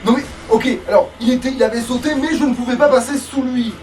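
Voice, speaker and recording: A man's voice: very high-pitched, fairly even intonation, quick pace, darkish tone, distant mic. A man commentates on his gaming session. He grumbles and swears casually, sounding exasperated with the game. He sits back from the microphone, with game music playing behind him. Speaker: let's player playing the game. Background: video game music.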